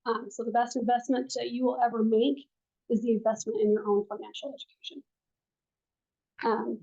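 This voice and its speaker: A woman who is a financial advisor, giving a soft-spoken classroom presentation with frequent ums, recorded on a room mic. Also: a little high, muffled recording.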